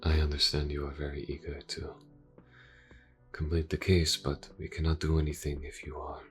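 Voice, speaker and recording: A man voicing a calm, reserved police detective in an audio roleplay, speaking formally and with gentle reassurance to a wounded partner. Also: rumbling low, soft background music. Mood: gentle, reassuring. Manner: measured, formal, gently reassuring, hesitant pauses. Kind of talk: audio roleplay, character acting.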